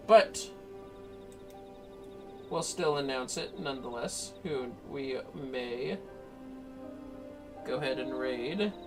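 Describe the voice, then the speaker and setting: A man livestreaming a game in casual chat, speaking in a low-key way, half muttering to himself and to viewers.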